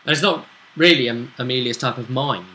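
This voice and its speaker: A man chatting casually as game master, recapping a tabletop role-play session in a halting, storytelling way with pauses. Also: mid-range voice, sharp tone, poor audio.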